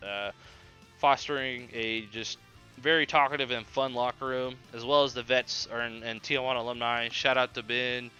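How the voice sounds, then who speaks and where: A young man with a very bright, forceful voice hosts a laid-back podcast over faint music. He rambles through listener questions in slangy, profanity-laced talk. Now and then he stutters slightly on a word. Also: medium pitch, clear, resonant tone, dull audio. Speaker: podcast host. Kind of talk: casual chat answering listener questions.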